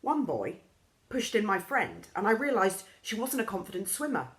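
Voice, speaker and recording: A woman reading a children's story aloud in an expressive storybook style, acting out the characters' lines. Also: normal speed. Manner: lively, acts out characters' lines with raised pitch.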